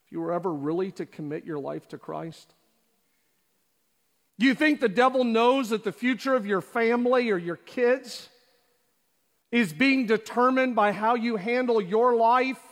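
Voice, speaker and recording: Pastor, male, high voice, preaching with rhetorical questions, reverberant church auditorium. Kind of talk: sermon. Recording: long hall reverb.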